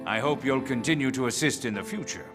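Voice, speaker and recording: A grave, authoritative commander, acted by a man for a video game cutscene. He speaks formally and in measured phrases at an average male pitch, with clear background music. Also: close to an everyday voice. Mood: formal, pleased. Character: grave, formal commanding director general, middle-aged man.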